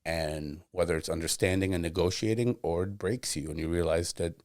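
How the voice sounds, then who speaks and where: A man's voice: low-pitched, brisk, darkish tone, no echo, clean studio sound. A man hosting a podcast, talking thoughtfully in casual conversation and often searching for words. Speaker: podcast host.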